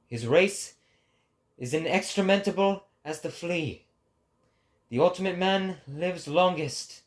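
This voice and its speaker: A man voicing a lofty, sorrowful prophet who preaches a grave warning in a deliberate, declamatory dramatic reading. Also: high voice. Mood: scornful, declamatory. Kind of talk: dramatic philosophical oration.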